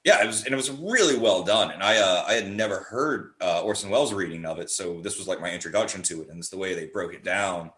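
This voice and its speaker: Low-voiced man in an echoey room. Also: lively intonation, fast, hardly any pauses, pushed and bright. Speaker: guest, horror playwright. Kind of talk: casual podcast conversation. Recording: roomy, echoey sound.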